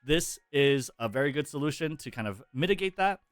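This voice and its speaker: A man giving a scripted video commentary, explaining his points in a measured way. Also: fairly high pitch, expressive, dry recording. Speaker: video creator.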